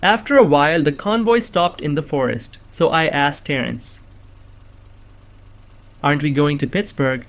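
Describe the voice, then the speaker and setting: A man's voice: fairly high pitch, measured pace, long pauses, a bit bright. A man playing an uneasy, earnest young man who narrates his own suspense story in a visual novel. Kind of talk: first-person scripted visual novel narration and dialogue.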